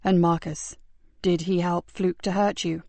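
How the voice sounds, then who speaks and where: Woman playing a male police sergeant, low voice, soft and soothing, dry recording. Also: flat delivery, measured pace, gravelly, no room sound.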